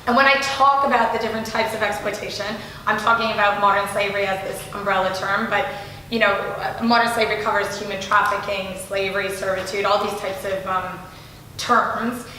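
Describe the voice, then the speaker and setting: Slightly hoarse woman giving an enthusiastic talk. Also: medium pitch, steady tone, fairly quick, bright, forceful tone. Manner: enthusiastic, with restarts. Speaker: guest speaker from a foundation. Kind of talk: live speech at an event. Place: large room, audience present. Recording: very echoey room, faint noise.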